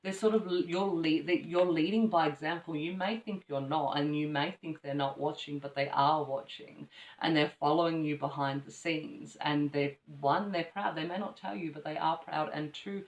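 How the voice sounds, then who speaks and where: A woman giving a casual tarot reading, rambling with many ums and restarts, her voice slightly croaky from allergies. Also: low voice, steady tone, normal speed. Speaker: tarot reader. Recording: echoey room from far off the mic.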